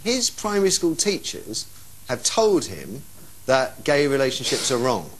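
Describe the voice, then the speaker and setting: A man's voice: mid-range voice, wildly swooping pitch, a little slow, slight background noise, low-quality recording. A man hosting a live phone-in discussion, speaking informally and emphatically and putting questions to callers. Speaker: phone-in programme host.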